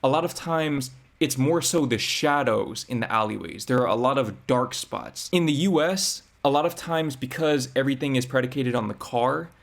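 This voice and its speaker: A man's voice: mid-range voice, moderate pace, vocal fry, hardly any pauses. A man talking through his thoughts as a reaction vlogger, reflective and conversational. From United States. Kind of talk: casual commentary.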